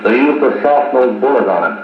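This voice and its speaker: A man's voice: mid-range voice, a little fast, natural voice. Man playing grave army doctor, dry clipped delivery, 1950s radio drama, heavy echo, dull old wire recording. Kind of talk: 1950s Australian radio serial acting. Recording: echoey, muffled, noisy 1950s wire recording.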